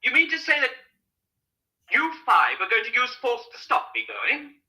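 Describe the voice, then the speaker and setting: A man's voice: extremely high pitch, big pitch swings, moderate pace, bright, forceful tone, full character voice. An actor in a radio drama playing a courteous, well-spoken man on the run, with polite, formal phrasing. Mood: polite, indignant.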